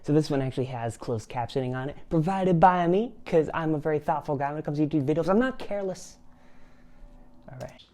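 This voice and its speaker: A man's voice: fairly high pitch, a little fast, warm tone. Male vlog host, casual, self-deprecating, chatty reaction voice. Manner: rambling, self-mocking, frequent laughs and restarts. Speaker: channel host.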